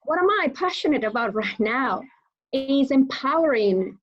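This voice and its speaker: A woman's voice: high voice, lively intonation, normal speed, muffled recording. A woman from Venezuela with a Venezuelan Spanish accent, speaking English as a second language, answering interview questions in a hesitant way with many fillers. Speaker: guest speaker.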